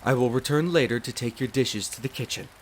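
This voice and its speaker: A man's voice: medium pitch, slight background noise, close to an everyday voice. Man playing a formal, deferential butler, rain behind. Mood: courteous, attentive. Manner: formal, polite, measured, deferential. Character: courteous, devoted demi-human butler in a vampire's castle. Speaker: voice actor in an audio roleplay. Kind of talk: scripted roleplay addressed to the listener. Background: steady rain of a storm.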